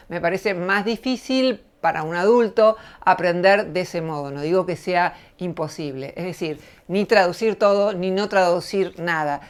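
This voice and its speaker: A middle-aged woman with an Argentine accent explains her ideas in a measured, reflective way. Her voice is smooth and clear, set at an ordinary middle pitch. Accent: Argentine Spanish. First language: Spanish. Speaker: channel host, lecturer. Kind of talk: reflective spoken essay to camera.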